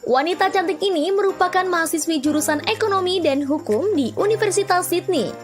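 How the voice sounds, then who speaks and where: A woman's voice: very high-pitched, quick pace, music in the background. A woman host announcing a guest in an upbeat, scripted way.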